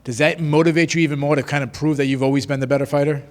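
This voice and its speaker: A man's voice: fairly high pitch, fairly even intonation, fast talker. A man reporter asking direct questions, often opening with "uh". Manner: direct, begins with "uh". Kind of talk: press questions. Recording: echoey hall.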